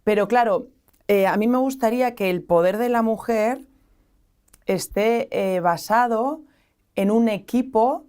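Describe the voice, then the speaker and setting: A woman's voice: a little high, measured pace, mellow, smooth. A woman from San Sebastián with a strong Basque Spanish accent, a guest in a studio interview who lays out long, reflective arguments. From San Sebastián, Spain. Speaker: guest, association founder.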